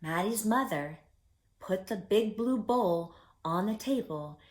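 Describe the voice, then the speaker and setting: A woman reads a children's story aloud at an unhurried pace. Her mid-range voice rises and falls a great deal, and she is expressive, speaking straight to her young listeners. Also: mid-range voice. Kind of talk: read-aloud for preschoolers.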